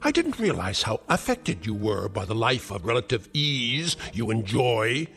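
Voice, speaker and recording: An actor playing a cruel, sarcastic elderly uncle who is a scientist, taunting with mocking, theatrical insults. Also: male voice, mid-range voice, big pitch swings, a little slow, a bit bright, soft background music. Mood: sneering. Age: older. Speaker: domineering uncle. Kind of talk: 1960s TV drama acting.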